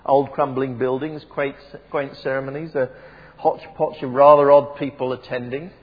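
Preacher, husky voice, reverberant church. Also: male voice, medium pitch, little pitch movement, normal speed. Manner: wry, conversational, self-deprecating, many ums and restarts. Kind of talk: sermon. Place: church hall with PA system. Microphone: PA microphone. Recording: dull lo-fi recording in a reverberant church.

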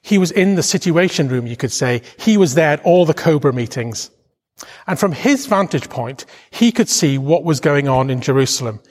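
A man's voice: on the high side, animated, normal speed, a little mellow. A middle-aged Welsh man giving an earnest, reflective devotional talk, with emphatic stresses as he preaches. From Wales. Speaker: charity leader giving a Bible talk. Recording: long hall reverb.

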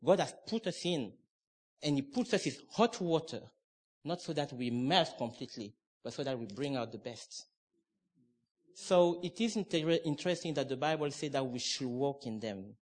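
A man's voice: medium pitch, animated, normal speed. A middle-aged man from Cameroon preaching a sermon, emphatic and conversational, with restarts and asides.

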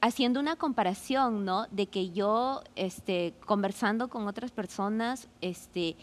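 A woman's voice: medium pitch, a little slow. A woman in her forties, a law student, talking through her experience in a casual podcast conversation, thoughtful and full of fillers. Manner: reflective, many fillers like "este" and "¿no?".

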